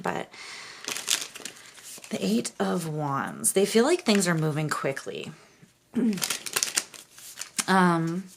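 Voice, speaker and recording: Hoarse woman, very deep register, casual tarot reader, frequent throat clearing, rambling delivery. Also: slight background noise. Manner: keeps clearing her throat, meandering with fillers. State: hoarse, losing her voice. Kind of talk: casual tarot reading to viewers.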